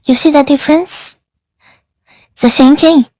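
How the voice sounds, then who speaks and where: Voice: sits high, steady tone, measured pace, a little mellow, no room sound. A reviewer's voice turned into a woman's by an AI voice changer, giving a casual product demonstration. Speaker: software reviewer.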